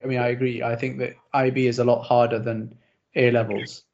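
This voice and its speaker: A young man whose first language is Urdu chats easily as host, self-deprecating and full of quick asides. His voice sits at a middling pitch. The audio is a little dull and lo-fi. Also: level tone, darkish tone. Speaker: podcast host. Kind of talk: casual interview chat.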